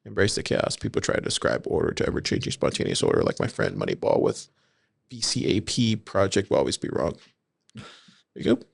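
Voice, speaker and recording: Gravelly low-voiced man co-hosting a podcast. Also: brisk, no echo, studio quality. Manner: reads quotes in an even, steady way, then asks for opinions. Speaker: podcast co-host. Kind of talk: casual chat, reading forum posts aloud.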